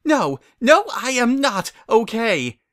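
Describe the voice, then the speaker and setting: A prim, dramatic lady unicorn played by a man from a cartoon pony story. The voice is pushed high and loud, with haughty, theatrical phrasing. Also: sing-song, takes it slowly, exaggerated voice. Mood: pained, indignant.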